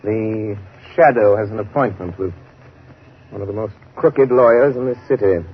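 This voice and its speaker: An actor playing a calm, shrewd gentleman crime-fighter in a 1930s radio drama, speaking in a measured, deliberate and articulate way. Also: on the low side, slightly rough. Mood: grimly resolute. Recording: noisy, muffled 1930s radio recording.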